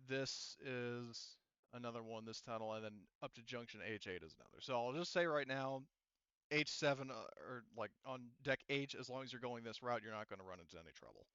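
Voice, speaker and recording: A man runs an online tabletop game as its game master, working things out aloud step by step. His voice is bright and resonant, picked up dry on a close mic with slightly muffled chat audio. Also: medium pitch, brisk, smooth, no echo.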